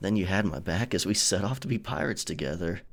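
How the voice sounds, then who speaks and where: A man's voice: deep voice, lively intonation, quick pace, gravelly, exaggerated voice. A man playing a charming, flirtatious pirate captain in a romantic audio roleplay, teasing and tender as he speaks to his lover. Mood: fond, reminiscing.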